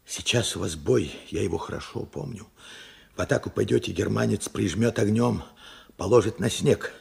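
An actor in a radio play playing a weary, regretful old war veteran, hoarse and urgent in a halting delivery. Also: male voice, fairly low pitch, a little mellow, full character voice. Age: older. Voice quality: hoarse, husky, cracked. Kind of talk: Soviet radio play acting.

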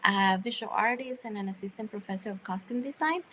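Animated woman from Costa Rica. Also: medium pitch, brisk, a little hiss or hum. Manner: animated, laughing, restarts sentences. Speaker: scenographer and costume design professor. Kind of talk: panel discussion on video call. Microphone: laptop webcam on video call.

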